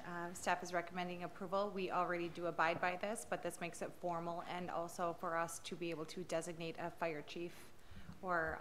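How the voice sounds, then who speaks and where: Flat-voiced woman giving a staff report. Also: fairly low pitch, a little hiss or hum. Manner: measured and matter-of-fact. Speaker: city staff member. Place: city council chamber.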